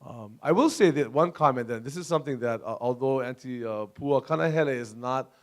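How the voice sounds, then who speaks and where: A man speaks on a discussion panel, lively and rambling in places. His pitch sits in the middle range, and he jokes at his own expense as he goes. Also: brisk. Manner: animated, self-deprecating, digressive.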